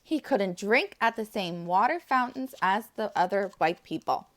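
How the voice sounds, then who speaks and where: A woman's voice: mid-range voice, animated, moderate pace, fairly bright tone. A woman preschool teacher reading aloud to young children, enthusiastic, with friendly asides to her little listeners.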